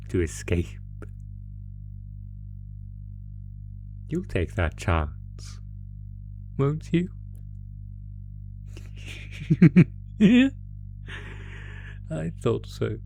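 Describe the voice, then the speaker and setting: Sadistic monster played by a man, low-pitched and unhurried, taunting a captive with mock courtesy, horror audio drama over music. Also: gravelly, exaggerated voice. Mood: menacing, gloating. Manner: slow, taunting, mock-polite, savoring pauses. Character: sadistic male monster gleefully toying with a captive. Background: musical score.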